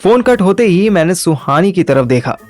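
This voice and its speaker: A man's voice: sits high, very animated, moderate pace, a little mellow, soft background music. A man narrating a first-person story and acting its dialogue in colloquial Hindi mixed with English. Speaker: narrator and lead character of an audio story.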